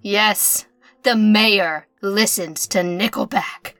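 An actress playing a playful, gloating villainess in a parody radio mystery, teasing and theatrical. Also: female voice, mid-range voice, slow, fairly bright tone, no room sound, soft background music. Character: playful, gloating femme fatale villainess, secretly a journalist.

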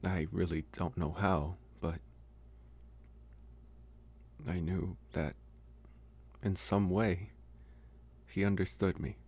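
A man playing a lonely, gentle young college vampire in an intimate ASMR roleplay, speaking softly and haltingly with pauses. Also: low voice, steady tone, husky, exaggerated voice. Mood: wistful. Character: lonely, soft-spoken young male college vampire, politely devoted. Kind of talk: ASMR roleplay, intimate one-sided dialogue.

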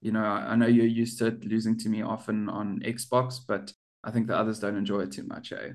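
A man co-hosting a relaxed sports podcast. He talks in an easy, bantering way and often laughs at his own jokes. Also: on the low side, fairly quick. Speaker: podcast co-host. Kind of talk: casual sports chat.

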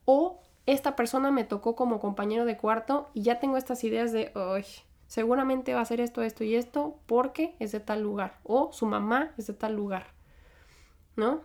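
A woman's voice: fairly high pitch, moderate pace, darkish tone. Candid young Mexican woman vlogging. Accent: Mexican Spanish. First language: Spanish. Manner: candid, conversational, frequent fillers and restarts. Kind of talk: reflective personal monologue.

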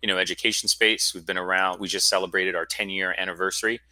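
A man's voice: low voice, steady tone, quick pace, bright, forceful tone, dry recording, rough recording. A man in his forties from Florida, a guest telling his story in a casual, rambling interview. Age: 40s. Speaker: guest, school founder.

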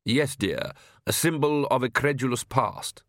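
A man's voice: mid-range voice, expressive, a little slow, dry recording, studio quality. Man voicing a pompous, patronizing vampire father. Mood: conciliatory. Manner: conciliatory, parental, faintly exasperated, pompous. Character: urbane vampire father, patronizing, conciliatory head of family.

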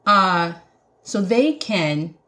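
A woman's voice: medium pitch, very animated, very slow, sharp tone. A woman with a Jamaican accent hosting a podcast, talking earnestly and emphatically like a sermon. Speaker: podcast host. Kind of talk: preaching podcast monologue.

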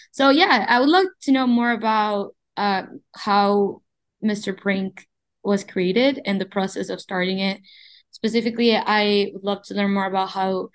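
A woman hosting an interview podcast. She introduces the show and her guests in an upbeat, eager way. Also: medium pitch. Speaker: podcast host.

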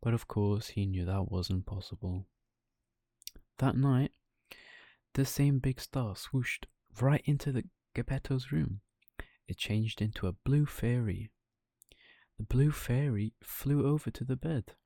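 Guy telling a kids bedtime story, mellow voice, mid pitch, really dry sound. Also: takes it slowly, long pauses, dry recording. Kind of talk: bedtime story narration for children.

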